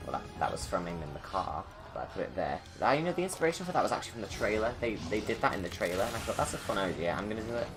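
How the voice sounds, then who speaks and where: A man's voice: fairly low pitch, sing-song, very fast, hardly any pauses. A man giving casual, rambling, enthusiastic running commentary as the creator of a film. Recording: loud film music behind. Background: game film soundtrack.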